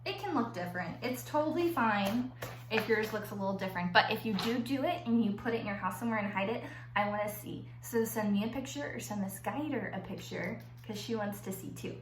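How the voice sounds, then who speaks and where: A woman schoolteacher reading aloud to young children and explaining an activity in simple, clear phrases. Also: mid-range voice, fairly quick. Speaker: elementary school teacher. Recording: echoey room with faint background noise.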